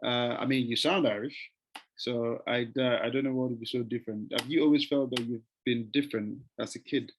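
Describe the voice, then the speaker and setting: A man's voice: medium pitch, fairly even intonation, brisk, a little hiss or hum, rough recording. A man hosting an interview show, upbeat, rephrasing and repeating his questions. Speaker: host.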